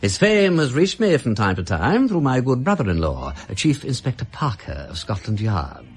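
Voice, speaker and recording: Radio drama casting: male actor for wry, urbane English aristocrat, upper-class accent, flowery and pompous, hugely animated intonation. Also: medium pitch, moderate pace, natural voice. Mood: mock solicitous. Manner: pompous, flowery, drawling mock-courtesy. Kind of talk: British radio drama acting.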